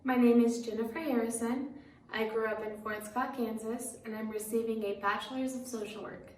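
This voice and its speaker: A woman's voice: a little high, steady tone, normal speed, recorded from a distance, a little hiss or hum. A young woman speaks in a heartfelt way. She stands back from the mic, and her voice carries an echo from the room. A faint ambience sits behind her. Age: young adult. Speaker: graduating social work student. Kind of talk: recorded self-introduction. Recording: echoey room, away from mic.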